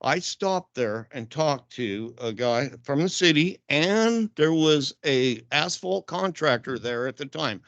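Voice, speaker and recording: A man's voice: fairly high pitch, lively intonation, slow, few pauses, fairly bright tone, dry recording. An older man, a retired resident, making a blunt, rambling complaint at the public comment microphone of a council meeting. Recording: dull lo-fi council chamber mic.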